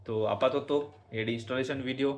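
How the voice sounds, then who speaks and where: A man's voice: mid-range voice, steady tone, a little fast. A man giving a casual hands-on tutorial, explaining step by step and speaking directly to viewers. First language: Bengali. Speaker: motovlogger and product reviewer.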